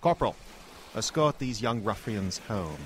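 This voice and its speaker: A man playing a stern British wartime military officer in an audio drama, brisk and authoritative. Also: fairly low pitch, animated, fairly bright tone, slight background noise.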